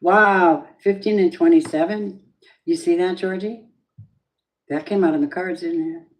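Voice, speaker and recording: A middle-aged woman with a low voice, chatting in a rambling, casual way as she gives a tarot reading on a live stream. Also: steady tone, a little slow, low-quality recording. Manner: chatty, rambling, drifting off topic. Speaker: tarot reader.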